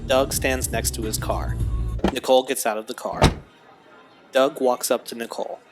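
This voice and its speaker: A man's voice: mid-range voice, steady tone, moderate pace. A man reading scripted scene narration for an audio drama, describing the action as narrator. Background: music.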